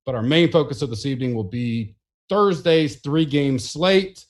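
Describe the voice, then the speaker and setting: A man hosts a laid-back sports podcast, talking at an ordinary mid pitch. His delivery is loose and rambling, full of fillers and restarts. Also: moderate pace. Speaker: podcast host. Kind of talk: casual podcast chat.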